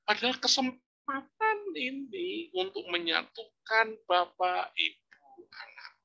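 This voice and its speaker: A man, a psychology professor telling family stories in an informal lecture with lively rising emphasis and religious exclamations. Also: very high-pitched, slow, creaky, highs cut off. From Indonesia. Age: 60s. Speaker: psychology professor giving a talk.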